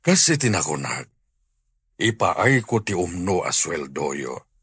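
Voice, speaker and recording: A welcoming, wealthy Ilocano householder in a radio drama. His voice is very low and raspy, yet moves expressively up and down. He gives orders in a measured, authoritative way. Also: big pitch swings, a bit bright, dry recording, exaggerated voice. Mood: instructing. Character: generous, welcoming wealthy householder hiring servants.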